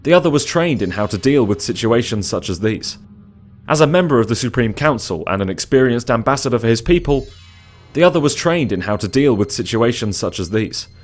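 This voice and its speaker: A man's voice: mid-range voice, animated, brisk, faint music behind. A man narrating a scripted audio drama in a dramatic storytelling style.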